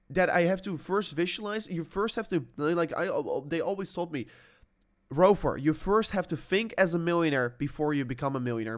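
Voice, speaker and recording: A young man in his twenties with a somewhat raised pitch gives a loose, motivational vlog monologue. He keeps circling back with "I mean" and "like", restarting and correcting himself as he goes. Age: 20s. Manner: repeats himself, many "I mean" and "like" fillers, self-corrects often. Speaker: crypto YouTuber.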